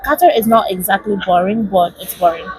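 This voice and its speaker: A young woman speaks in a British accent, answering questions in a relaxed, articulate way. Her pitch is average for a woman. There is clear noise behind her. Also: a little fast. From Nigeria. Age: young adult. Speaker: interviewee. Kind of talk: casual interview. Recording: noisy background.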